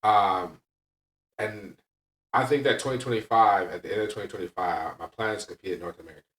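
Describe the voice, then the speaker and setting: A man's voice: on the low side, fairly quick, fairly bright tone. A man talking candidly and casually as a podcast host and coach, emphatic and blunt, often checking in with "right?". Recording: echoey room, distant from mic.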